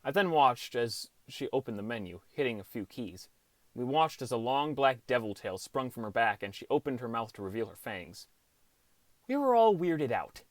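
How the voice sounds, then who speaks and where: A man's voice: medium pitch, sing-song, moderate pace, no echo. A man reading a scripted first-person story as an audiobook narrator.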